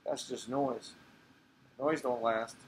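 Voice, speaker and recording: A man's voice: mid-range voice, fairly even intonation, slow pace, natural voice, rough recording. A man reading a stage monologue as a streetwise, slangy man who gives tough life advice with insistent emphasis. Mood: insistent, preaching. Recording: clear background noise.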